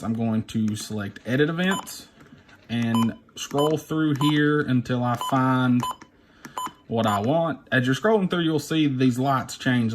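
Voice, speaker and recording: A man with a mid-pitched voice talks through device steps informally, thinking aloud as he goes. The recording has clear background noise, with clicks and beeps from the buttons. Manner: unscripted, thinking aloud while pressing buttons. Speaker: instructor demonstrating a device. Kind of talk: informal hands-on tutorial, talking through steps.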